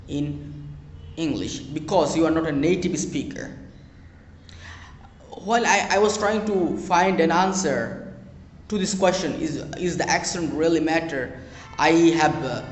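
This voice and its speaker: Slow, earnest Bangladeshi man teaching English. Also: on the high side, a little hiss or hum. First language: Bengali. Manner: earnest, repeats phrases, hesitant fillers. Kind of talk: advice talk to learners. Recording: echoey room with long reverb.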